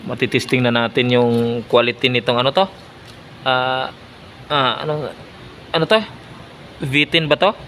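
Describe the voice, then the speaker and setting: A Filipino man talks in a casual vlogger style at a middle pitch. He repeats himself and checks in with short tag questions. There is some ambient noise behind him. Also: steady tone, unhurried, long pauses, a bit bright. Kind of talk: casual vlog chat to followers.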